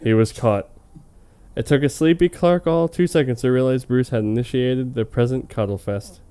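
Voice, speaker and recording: Smooth, mellow man reading mock-dramatically. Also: medium pitch, normal speed. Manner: hammy, amused, breaking off to comment. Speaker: reader of fan fiction on a comedy web show. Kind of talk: mock-dramatic reading with casual joking asides.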